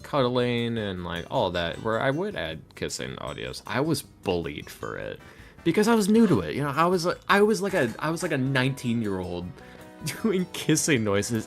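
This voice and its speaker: A young man chatting casually as a podcast guest, slangy, animated and jokingly exasperated about himself. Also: mid-range voice, moderate pace, faint music behind. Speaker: ASMR roleplay audio creator, podcast guest.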